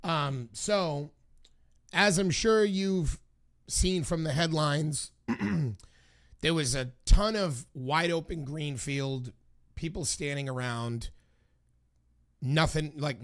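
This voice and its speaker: A man with a hoarse voice hosting a casual political commentary video, talking in an indignant, emphatic and repetitive way. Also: mid-range voice. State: hoarse from singing.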